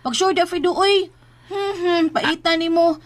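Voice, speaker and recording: A woman's voice: very high voice, measured pace. A woman playing a long-suffering, nagging wife with an exasperated manner in a comic drama skit. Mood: scolding. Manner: scolding, exasperated. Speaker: character in a comedy skit. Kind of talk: exaggerated comic Filipino drama acting.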